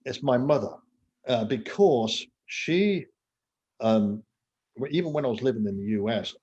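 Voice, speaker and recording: A man's voice: mid-range voice, expressive, measured pace, darkish tone, breathy edge, lo-fi sound. A man in his sixties from England, a podcast guest talking casually and rambling, with lots of fillers and self-mocking asides. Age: 60s. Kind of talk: casual interview talk.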